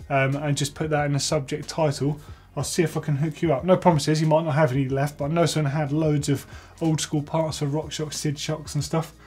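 A man's voice: on the high side, darkish tone, slightly rough. A man in his thirties hosting a bike tech show, chatting enthusiastically as he reads out viewer entries in a workshop. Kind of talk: casual reading and reacting to viewer entries. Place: workshop with tools on the wall.

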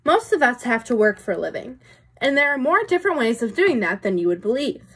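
A woman's voice: on the high side, very animated, fairly quick, fairly bright tone, slight background noise. A young adult woman reading a picture book to children as a teacher would, with explanatory asides and questions to her listeners. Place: small room indoors.

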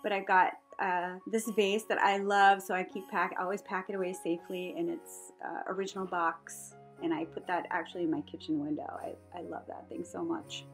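A woman's voice: lowish voice, fairly even intonation, moderate pace, few pauses. A woman in her fifties giving a casual, rambling, chatty voice-over for a home vlog, prone to chuckling. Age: 50s. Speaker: craft vlogger. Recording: music behind the voice. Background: music.

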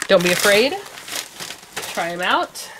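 A woman's voice: mid-range voice, lively intonation, unhurried, noisy background. A woman vlogger from California chatting casually and eagerly while showing off her shopping haul at a craft table. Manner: chatty and enthusiastic, rambling with fillers and laughs. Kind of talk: casual show-and-tell haul chat.

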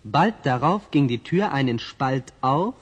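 A man's voice: medium pitch, animated, a little slow, dull audio, natural voice. A man narrating a fairy tale in a scripted, old-fashioned children's audio play. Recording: old vinyl record, faint noise.

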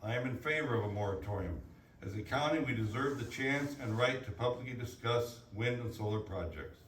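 A man's voice: mid-range voice, a little fast, fairly bright tone. A man reading prepared written statements aloud at a public meeting. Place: indoor public hearing room. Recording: echoey meeting room, distant mic, faint noise.

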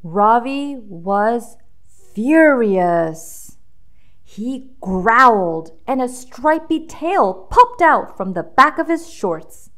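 A woman's voice: fairly high pitch, very animated, very slow, fairly bright tone. A woman reading a children's storybook aloud with dramatic emphasis, drawing out key words for young listeners.

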